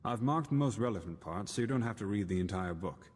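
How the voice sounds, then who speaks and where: An actor playing a thoughtful, self-doubting scholar in a fantasy video game, speaking in an earnest, reflective and articulate way. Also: male voice, lowish voice, expressive, fast talker, long reverb tail. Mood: earnest, pensive. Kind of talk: video game character dialogue.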